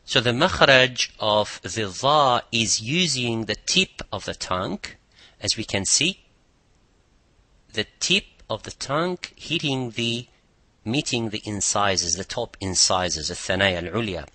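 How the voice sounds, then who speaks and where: A man hosting a lesson, explaining pronunciation points in a deliberate, instructive way and stressing key words. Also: lowish voice, expressive, bright, forceful tone, dry recording. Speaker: podcast host and Quran recitation teacher.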